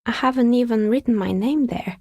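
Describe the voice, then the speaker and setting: Wry calm dicemaker lady from a video game, husky with lots of vocal fry, kinda dry understated delivery. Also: fairly high pitch, brisk, dry recording. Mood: matter-of-fact, wry. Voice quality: husky, breathy, slightly creaky voice. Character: calm, wry woman artisan who makes and sells novelty dice. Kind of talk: video game character dialogue.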